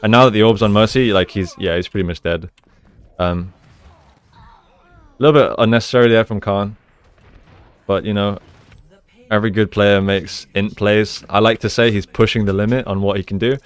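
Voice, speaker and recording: A man's voice: on the low side, fairly even intonation, normal speed, pauses often, clean tone, no echo. A man giving casual, explanatory gameplay commentary as an esports coach, using gamer slang. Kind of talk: live gameplay commentary and breakdown.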